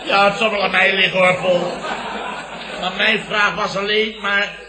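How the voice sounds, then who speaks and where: An actor playing a flustered, long-winded middle-aged boss who blusters and repeats himself in a radio comedy. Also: male voice, sits high, little pitch movement, normal speed, noisy background, close to an everyday voice. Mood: indignant. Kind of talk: 1970s Dutch radio comedy acting. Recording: roomy old radio recording, studio audience.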